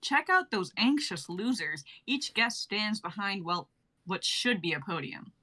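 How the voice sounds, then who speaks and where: A woman's voice: mid-range voice, big pitch swings, a little slow, pushed and bright. A young woman reading stage directions for a comedy sketch in a theatrical, irreverent, declamatory way. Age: young adult. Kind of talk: theatrical comedic script narration.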